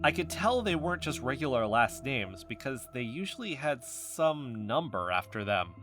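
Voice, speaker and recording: An earnest man confides his suspicions as an amateur investigator in a naturalistic podcast drama. His mid-pitched voice is insistent and defensive, with faint music behind. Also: sing-song, normal speed, a bit bright. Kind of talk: naturalistic fiction podcast acting as recorded conversation.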